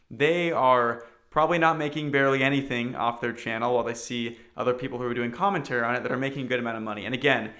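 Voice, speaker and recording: A man with a bright, forceful voice at a middle pitch. He talks like an online commentary host, casual but earnest, leaning on his points with clear emphasis. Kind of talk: casual opinion commentary to viewers.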